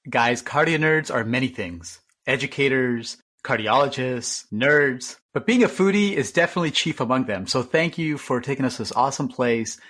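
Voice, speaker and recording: A man hosting a podcast, opening the episode and greeting guests in an energetic, welcoming way. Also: mid-range voice, normal speed, few pauses. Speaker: podcast co-host. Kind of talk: podcast host talk.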